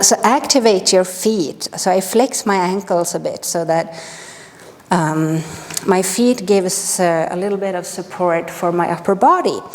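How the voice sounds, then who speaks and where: A woman from Sweden with a Swedish accent, calmly guiding a movement class with unhurried instructions and frequent pauses. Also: mid-range voice, long reverb tail. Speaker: movement teacher.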